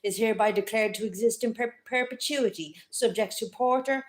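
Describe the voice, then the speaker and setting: A woman's voice: a little high, a little fast. A woman reading grand, declamatory mock-heroic narration at a live event. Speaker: reader. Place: community centre hall, live event.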